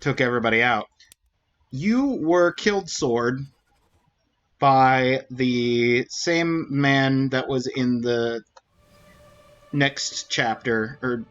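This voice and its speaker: Slow-talking man thinking aloud. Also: medium pitch, a bit bright, lo-fi sound. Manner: thinking aloud, reasoning through clues. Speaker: streamer. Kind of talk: casual gameplay commentary.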